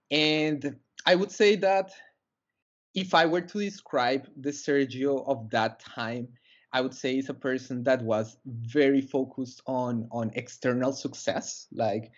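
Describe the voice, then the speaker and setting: A man's voice: medium pitch, lo-fi sound. A young man from Colombia, a guest on a video-call interview, talking earnestly with many fillers and restarts. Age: young adult. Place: small room indoors. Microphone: headset on a video call.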